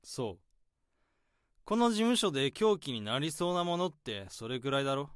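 An actor narrating as a weary, overworked male office worker in a radio drama, reasoning things out in a measured way. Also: on the high side, very animated, clean tone, dry recording, studio quality.

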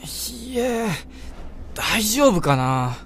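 A man's voice: high voice, sing-song, very slow, a bit bright, slight background noise, exaggerated voice. A man acting in a radio drama as a chatty office colleague who is both concerned and teasing. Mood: anxious.

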